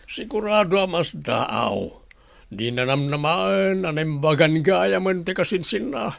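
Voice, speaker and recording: A man's voice: fairly high pitch, animated, unhurried, gravelly, no echo, exaggerated voice. A man playing a kindly, hopeful, inquisitive old grandfather in an Ilocano comedy radio drama, with an Ilocano accent. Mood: warm, hopeful. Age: older.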